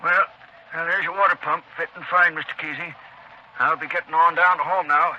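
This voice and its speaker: A man's voice: fairly high pitch, fairly even intonation, fairly quick, natural voice. An actor playing a timid, superstitious country repairman with a rural American accent, folksy and hesitant, in 1930s radio style. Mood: folksy, uneasy. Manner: hesitant, folksy drawling chatter. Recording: dull, noisy vintage 1930s radio recording.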